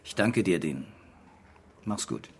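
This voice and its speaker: An actor playing a wry, deliberate French police commissioner in a German radio crime drama. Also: male voice, deep voice, lively intonation, gravelly, a little hiss or hum, full character voice. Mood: coaxing.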